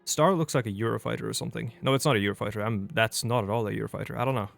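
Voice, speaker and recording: A man's voice: on the low side, racing, vocal fry. Rambling Norwegian-accented young man. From Norway. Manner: chatty and rambling, with frequent restarts. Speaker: let's play host. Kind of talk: casual gameplay commentary. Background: faint background music.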